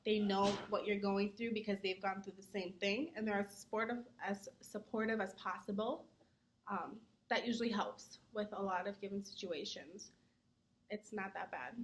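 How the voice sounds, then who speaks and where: A young adult woman, a student, giving earnest answers in a mock interview, often pausing on "um". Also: mid-range voice, fairly even intonation. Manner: earnest, many "um" fillers; says she talks fast when nervous.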